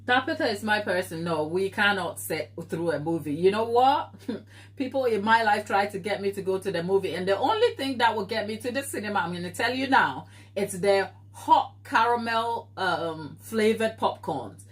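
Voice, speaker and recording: A woman's voice: mid-range voice, animated, normal speed. A woman from Ghana with a Ghanaian accent tinged by years in England, chatting casually with viewers while she bakes on a livestream and wandering off on tangents. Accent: Ghanaian with English influence. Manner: chatty, tangent-prone, reads and answers viewer comments. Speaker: baking livestream host. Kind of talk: casual live-stream chat while baking.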